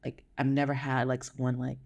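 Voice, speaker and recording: A woman's voice: rumbling low, monotone, brisk, creaky, a little mellow. A woman with an American accent chatting casually as a podcast guest, in a conversational way. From United States. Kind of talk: casual chat.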